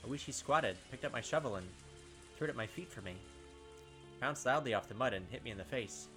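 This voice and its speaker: Guy with a bright forceful voice doing dramatic story narration for a game video, mid pitch. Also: lively intonation, a little fast, faint music behind. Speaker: gaming video host. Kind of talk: dramatic read-aloud narration of a visual novel.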